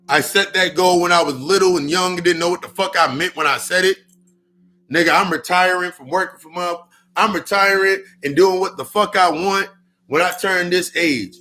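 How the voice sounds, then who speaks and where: A man's voice: fairly high pitch, sharp tone. A man in his thirties giving a casual spiritual talk, swearing often and repeating lines for emphasis. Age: 30s. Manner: profane, repetitive, emphatic. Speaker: spiritual speaker.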